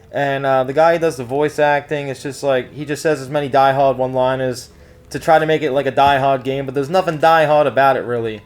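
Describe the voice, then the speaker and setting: Higher-pitched man, casual rambling reviewer. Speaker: video game reviewer.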